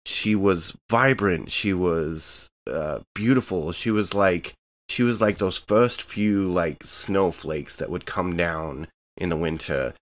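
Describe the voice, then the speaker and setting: A man playing a tabletop game, speaking haltingly and restarting as he searches for words. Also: deep voice, no room sound. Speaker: player. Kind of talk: tabletop roleplay chat.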